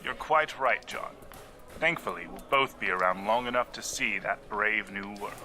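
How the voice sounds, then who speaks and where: A man playing an urbane, inhuman immortal gentleman agent in an audio drama, dry, wordy and needling. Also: on the low side, normal speed, soft background music. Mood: wry, pleased. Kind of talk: scripted audio drama acting.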